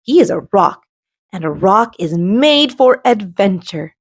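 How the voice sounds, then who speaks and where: A woman reading a children's storybook aloud as host, expressively and with dramatic pauses. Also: medium pitch, sing-song, husky, no echo, studio quality. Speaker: storybook reader and show host.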